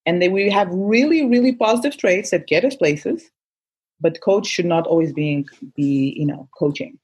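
A woman whose first language is Portuguese, talking in an easy, animated way. Her voice is husky and sits fairly low. She restarts sentences often as she goes. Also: moderate pace. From Angola. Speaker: guest coach. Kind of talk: casual conversation.

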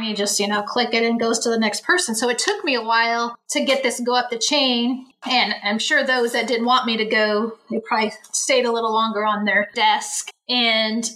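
A woman in her forties from the Bay Area speaks with a bright, forceful voice. She tells a long story in a rambling, chatty way. She often restarts her sentences and adds asides. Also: fairly high pitch. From San Francisco Bay Area. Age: 40s. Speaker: interview guest, Navy veteran. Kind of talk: casual storytelling.

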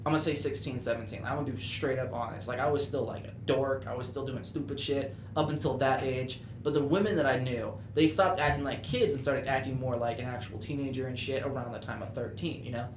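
A man's voice: medium pitch, steady tone, fast talker, few pauses, slight background noise. A young man chatting casually on a podcast, blunt and opinionated, with frequent swearing. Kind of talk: casual chat. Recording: echoey room.

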